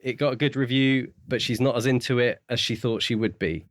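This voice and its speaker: A man co-hosting a podcast, talking through books in a casual, measured way as he thinks aloud. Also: medium pitch, dry recording, studio quality. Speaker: podcast co-host. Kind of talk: casual book discussion.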